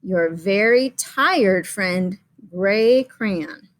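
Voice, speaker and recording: A woman's voice: mid-range voice, lively intonation, extremely slow. A woman voicing a very tired, fed-up gray crayon that pleads and complains dramatically, in a children's picture book read-aloud. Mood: tired exasperation.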